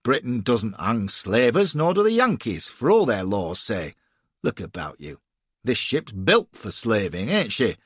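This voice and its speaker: A man voicing a cold, menacing middle-aged slave-ship captain in an audiobook, quietly threatening and commanding. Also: on the low side, sing-song, measured pace, slightly rough, no echo, full character voice. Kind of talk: audiobook character voice.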